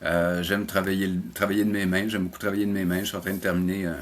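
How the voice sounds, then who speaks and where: A mature man with a Québécois French accent, speaking informally and haltingly as a guest in a casual interview. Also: low-pitched, level tone. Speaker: interview guest, animation teacher and program coordinator.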